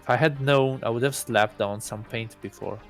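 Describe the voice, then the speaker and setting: A man's voice: mid-range voice, normal speed, faint music behind, natural voice. A man voicing an aloof, deluded god posing as a student in a visual novel, musing in a self-important, awkwardly earnest way. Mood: smug, musing. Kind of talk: first-person visual novel narration.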